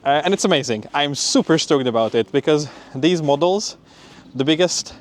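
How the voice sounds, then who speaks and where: A man's voice: fairly high pitch, animated, moderate pace. Casual, conversational young man vlogging. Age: young adult. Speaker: PhD student vlogger. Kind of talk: casual spoken vlog update.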